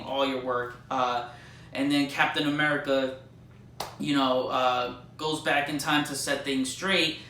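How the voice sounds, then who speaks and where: A man's voice: medium pitch, sharp tone. A man giving a casual, rambling movie review with slangy, mildly sweary and emphatic fan enthusiasm. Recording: roomy echo, distant mic, faint noise.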